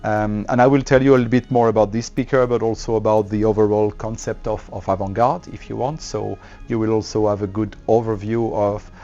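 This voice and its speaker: A man with a French accent, a company representative explaining his products in a trade show interview, with many fillers and pauses. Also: fairly low pitch, little pitch movement, dark tone, lo-fi sound, soft background music.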